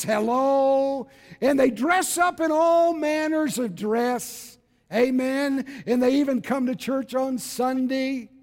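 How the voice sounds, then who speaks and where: A man of about seventy, preaching a fervent evangelical sermon in an exhorting, emphatic way, repeating phrases for weight. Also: very high voice. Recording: reverberant hall with long echo.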